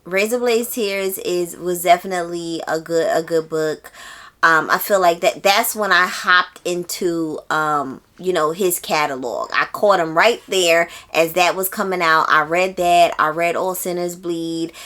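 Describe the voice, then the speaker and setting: A woman's voice: on the low side, unhurried, fairly bright tone. A woman talking casually to viewers as a book channel host, conversational and emphatic, often repeating phrases to stress a point.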